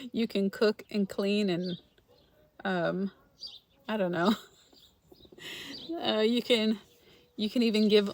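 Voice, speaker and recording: A woman's voice: mid-range voice, a little hiss or hum. A middle-aged woman from Los Angeles, hosting a casual livestream and chatting with viewers by name, laughing often. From Los Angeles, California. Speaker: frugal-living channel host reading viewer comments.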